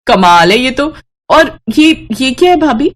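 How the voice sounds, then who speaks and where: A woman voicing an eager, wide-eyed teenage girl with an excitable, chirpy manner. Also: high-pitched, a little slow, voice pushed up. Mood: amazed.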